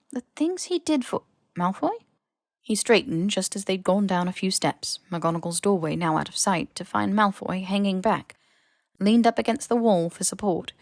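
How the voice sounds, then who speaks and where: A woman reading fiction aloud as an audiobook narrator, in an expressive scripted storytelling style. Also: medium pitch, no room sound, studio quality. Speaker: podfic narrator.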